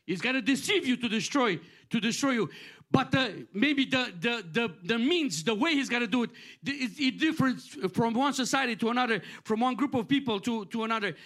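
A man's voice: very high-pitched, lively intonation, fairly quick, fairly bright tone. A middle-aged man from Romania preaching a sermon in an earnest, repetitive cadence, often restarting his phrases.

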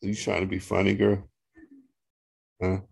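A man with a deep voice, a pastor leading an online Bible study and reading scripture aloud slowly on a video call. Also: completely flat delivery, creaky, breathy edge, no echo, studio quality. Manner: slow, deliberate reading with a coaxing, teasing tone.

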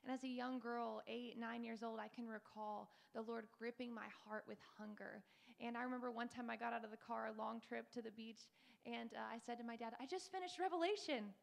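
A woman's voice: fairly high pitch, steady tone, a little fast. A young woman in her twenties giving a fervent preaching testimony on a church panel. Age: 20s. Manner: fervent, breathy preaching, mimics tongues. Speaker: panel speaker.

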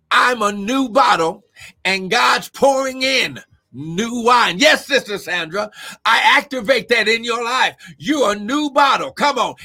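A male preacher delivering a fervent sermon, shouting often and calling out to members of his congregation. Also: very high-pitched. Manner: shouting, exclamatory, calls out congregation members by name. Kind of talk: Pentecostal-style sermon.

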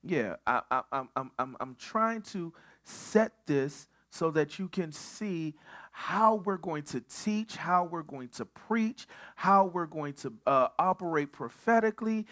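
A man's voice: fairly high pitch, lively intonation, slow, a bit bright. A male pastor preaching at a midweek Bible study, building on repeated phrases and often stumbling over restarts.

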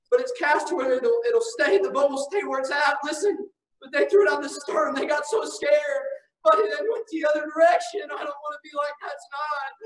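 A man preaching an emotional revival sermon, shouting and pleading with tears in his voice. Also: very high-pitched. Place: church hall. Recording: echoey church room from far off.

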